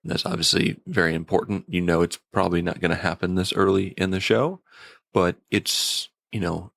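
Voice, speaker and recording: A man's voice: deep voice, normal speed, creaky, a bit bright, dry recording, clean studio sound. A man giving a casual, conversational review as a podcast reviewer. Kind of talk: casual episode review chat.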